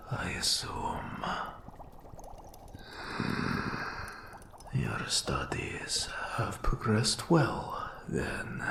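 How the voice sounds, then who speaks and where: A man voicing an old undead wraith mentor in a fantasy audio roleplay, eerie and courtly, with a gravelly, breathy half-whisper and slow, archaic, formal phrasing. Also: low-pitched, full character voice. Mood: dry, wry. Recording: roomy echo on the voice.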